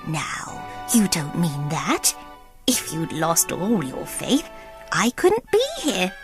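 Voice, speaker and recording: A woman voicing a kindly, brisk older fairy godmother in a storybook, reassuring and gently bossy. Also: on the low side, wildly swooping pitch, music in the background, voice pushed down, heavily acted. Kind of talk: children's storybook character voice.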